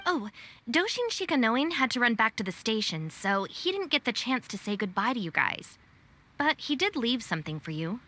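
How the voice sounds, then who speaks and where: A woman's voice: fairly high pitch, very animated, moderate pace, sharp tone, heavily acted. A woman voicing a sensible young gang deputy in a video game, practical and matter-of-fact. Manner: level-headed, matter-of-fact.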